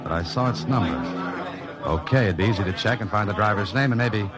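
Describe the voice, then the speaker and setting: An American actor playing a wry, cool novelist-sleuth in a 1940s radio drama, with dry, clipped delivery. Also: male voice, on the low side, brisk, over a noisy crowd, lightly acted. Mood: wry, intent. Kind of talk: 1940s radio drama acting and first-person narration. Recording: old radio recording with music behind.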